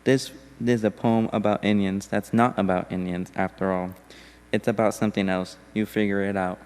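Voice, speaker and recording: A man reading a poem aloud during a church service in a steady, deliberate way. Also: on the low side, smooth. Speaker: congregation member reading a poem. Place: church sanctuary. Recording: long hall reverb.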